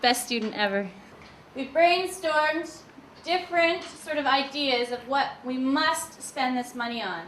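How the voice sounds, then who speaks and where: Female schoolteacher, high pitch, instructing children, echoey room with faint noise. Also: measured pace, a bit bright. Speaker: primary school teacher. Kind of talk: addressing a class of children. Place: school classroom.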